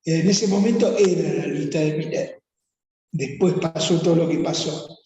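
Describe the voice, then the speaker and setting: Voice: medium pitch, moderate pace, warm tone. A speaker with an Argentine accent, a psychoanalyst reflecting on theory in a seminar discussion. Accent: Argentine Spanish. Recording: very echoey hall, long reverb.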